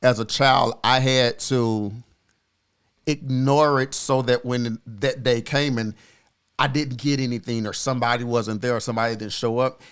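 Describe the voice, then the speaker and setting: A man in his forties, a podcast co-host, telling a personal story in a reflective, earnest way with frequent pauses and fillers. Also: medium pitch, steady tone, fairly bright tone, clear, resonant tone. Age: 40s.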